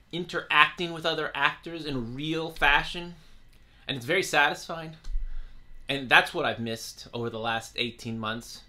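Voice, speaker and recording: Middle-aged male vlogger, higher-than-average voice, very sharp edge, casual, self-correcting delivery. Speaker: community theater actor documenting rehearsals. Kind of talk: casual unscripted vlog talk. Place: small indoor room with bookshelves.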